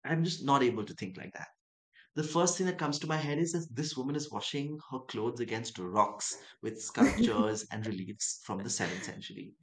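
A man's voice: medium pitch, animated, moderate pace, low-quality recording. A young man from Dubai and Chennai, a writer guest in an interview, talking in a reflective, musing way. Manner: thoughtful, musing, acts out quoted voices.

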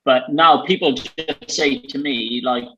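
A man with a South Wales Valleys accent hosts a relaxed conversation, wandering through his thoughts with lots of ums and likes. The recording is slightly dull and lo-fi. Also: mid-range voice, unhurried. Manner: chatty, many fillers, rambling. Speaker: host. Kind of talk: casual chat.

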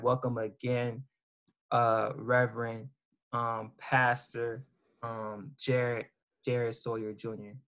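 A man's voice: mid-range voice, fairly bright tone. A man hosting an interview, reading out his guest's introduction with frequent ums and restarts. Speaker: host.

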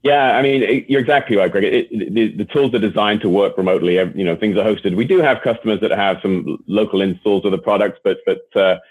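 A man's voice: fairly low pitch, fast, hardly any pauses, slightly rough, dry recording. A man with an English accent, a guest executive answering interview questions, who often repeats words as he restarts his phrases. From England. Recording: tinny phone-line sound.

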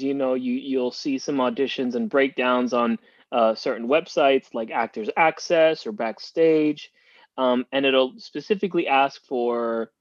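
A man's voice: medium pitch, dry recording, highs cut off. A Cuban-born man, a guest on a podcast, talking casually with many restarts and fillers over a remote call. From Cuba. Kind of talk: casual interview talk.

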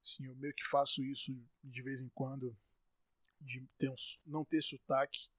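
A Brazilian man hosting his own podcast, ranting in exasperation, with sudden loud outbursts and stumbling, repeated words when he gets worked up. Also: mid-range voice, flat delivery, moderate pace, no echo. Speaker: podcast host. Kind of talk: solo podcast rant.

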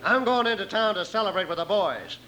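A hot-tempered western villain, a rancher with a very high-pitched male voice. He sneers and barks orders like a bully. It is an old radio drama recording, with a crowd behind him. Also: animated, a little fast, muffled recording. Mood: gloating, domineering.

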